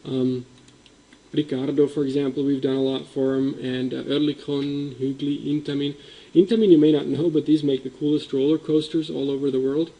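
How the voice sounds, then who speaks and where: A man's voice: medium pitch, flat delivery, normal speed, warm tone, rough recording. A man who grew up in Indonesia, giving a conference presentation in a meeting room, explaining with emphasis and frequent ums.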